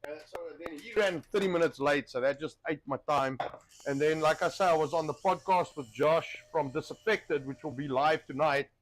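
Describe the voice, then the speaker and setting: A man with a somewhat high voice chats casually as the host of a cooking stream. He rambles and circles back over his own phrases. He is recovering from a cough and still has a wheezy chest. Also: normal speed, low-quality recording. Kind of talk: casual cooking livestream chat.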